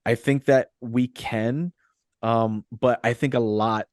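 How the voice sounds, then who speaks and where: A man's voice: medium pitch, steady tone, slow pace, a little mellow, dry recording, clean studio sound. A man chatting casually about movies as a podcast co-host, earnest and repeating phrases for emphasis.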